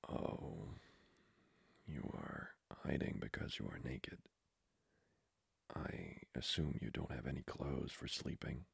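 Man playing a very deep, whispering vampire lord. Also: expressive, no room sound, heavily acted. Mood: courteous, flirtatious. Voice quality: breathy, whispery, gravelly. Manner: formal, slow, seductively polite. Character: flirty, courtly male vampire lord, hungering for blood. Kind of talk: intimate ASMR roleplay.

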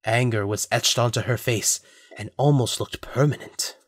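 A man's voice: medium pitch, normal speed, dry recording, clean studio sound. A man narrating a scripted audio drama as a storyteller.

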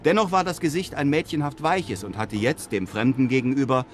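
A man's voice: mid-range voice, steady tone, brisk, slight background noise, natural voice. An actor playing a composed, grave European adventurer who recounts his travels in a radio drama. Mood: composed, narrating. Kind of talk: radio drama narration and dialogue.

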